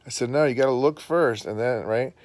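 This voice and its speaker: A man's voice: medium pitch, brisk, a little mellow, no echo. A man, a father chatting casually and encouragingly with his family at home. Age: adult.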